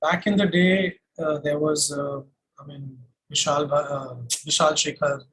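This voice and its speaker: A young man with an Indian accent speaks in a high-pitched voice. He talks as a singer being interviewed, casually telling stories in a conversational flow. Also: little pitch movement, a little slow, background noise. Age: young adult. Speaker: singer-songwriter guest.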